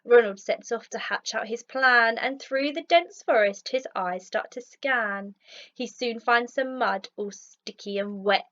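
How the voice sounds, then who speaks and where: A woman with a fairly high voice reads a rhyming picture book aloud like a teacher. Her delivery is slow and expressive, and the recording sounds a little dull. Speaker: teacher reading a story. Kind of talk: children's rhyming storybook narration.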